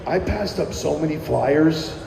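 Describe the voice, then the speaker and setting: A man's voice: a little high, a little slow, creaky, dark tone, slight background noise. A middle-aged man from New Jersey, a musician casually reminiscing in an interview with lots of fillers and restarts. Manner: rambling, many fillers and restarts. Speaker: musician guest. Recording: echoey room with long reverb.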